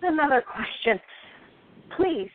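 A woman's voice: high voice, big pitch swings, takes it slowly, heavily acted. A woman acting the part of a depressed, envious, defensive actress of almost thirty in a radio play. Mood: gloomy, sardonic. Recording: thin phone-like audio.

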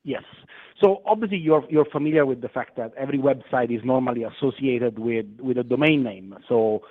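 A man's voice: medium pitch, a little fast. A man from Italy with an Italian accent, a guest on a radio interview, speaking hesitantly with many fillers and restarts. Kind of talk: phone interview. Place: London. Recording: tinny phone line.

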